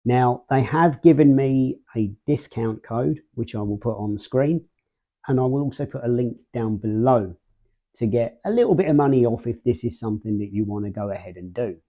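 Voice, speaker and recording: A man's voice: mid-range voice, normal speed. Man reviewing gadgets, thin phone-like sound. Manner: explanatory, step by step. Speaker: host of a radio gear review channel. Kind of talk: casual product review and demonstration.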